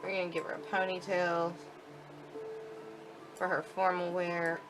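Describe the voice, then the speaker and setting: Female game demo presenter, low register, informal and instructional, clear music bed underneath. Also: monotone, unhurried, low-quality recording. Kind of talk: casual live walkthrough commentary.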